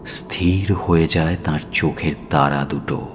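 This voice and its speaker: A man narrating a dramatic audio story in a solemn, measured and expressive manner. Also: rumbling low. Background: music.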